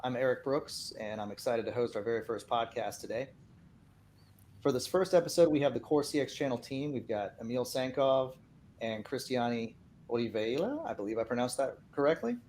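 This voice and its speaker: A man's voice: mid-range voice, darkish tone, slight background noise. A middle-aged or older man hosting a podcast in a conversational way. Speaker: podcast host.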